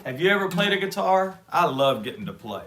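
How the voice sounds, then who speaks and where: A man hosting a kids' show, talking gently and folksily to children between guitar demos. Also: high-pitched, big pitch swings, fairly quick, a little hiss or hum. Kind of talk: friendly talk to kids with guitar demos. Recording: music loud in background.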